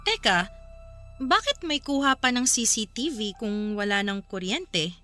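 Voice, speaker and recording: Lively, high-voiced blunt young friend. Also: female voice, a little slow, soft background music. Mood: suspicious, spooked. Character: blunt young female friend, practical but jumpy. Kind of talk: Filipino radio drama acting.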